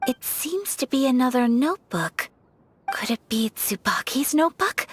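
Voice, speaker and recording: A gentle, courteous young noblewoman. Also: sits high, very animated, husky, heavily acted. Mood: thoughtful. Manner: soft-spoken, measured and courteous. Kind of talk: video game dialogue. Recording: game audio with faint music.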